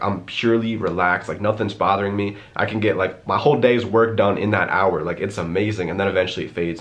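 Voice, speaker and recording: A young man talks to camera in a quick, rambling flow, packed with "like" fillers. His voice is fairly low and congested from a lingering cold. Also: a bit bright. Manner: chatty and rambling, lots of "like" fillers. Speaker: YouTuber. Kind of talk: casual Q&A vlog to camera.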